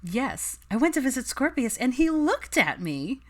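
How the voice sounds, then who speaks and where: A woman voicing a chipper, chatty pregnant young woman in animated, gushing audiobook dialogue. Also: high-pitched, moderate pace, pitched above the performer's own voice. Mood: pleased, excited.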